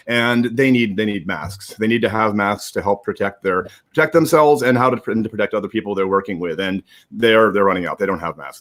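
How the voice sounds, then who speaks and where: An American man hosting an informal live broadcast, speaking haltingly and repeating words as he gives announcements. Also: fairly low pitch. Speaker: host.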